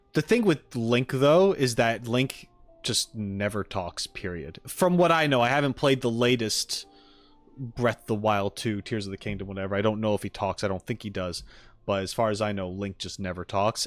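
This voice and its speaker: A man's voice: fairly low pitch, moderate pace, no echo. A man chatting casually on a livestream as he reacts to game clips, opinionated and jokey, speaking into a close microphone.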